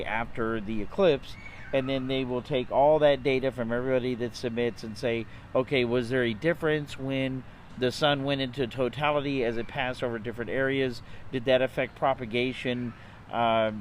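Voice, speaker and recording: A man with a mid-range voice explains things off the cuff for an amateur radio vlog. His delivery is halting and hesitant, stopping and picking up again mid-sentence. He is recorded outdoors, with clear ambient noise behind him. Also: mid-range voice.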